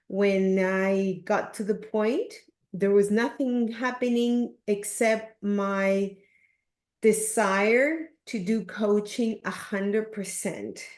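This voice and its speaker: Slow-paced Peruvian woman in a roomy echo. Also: mid-range voice, darkish tone. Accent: Peruvian Spanish. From Peru. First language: Spanish. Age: 50s. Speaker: podcast guest, leadership coach. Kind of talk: reflective interview talk. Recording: roomy, echoing sound.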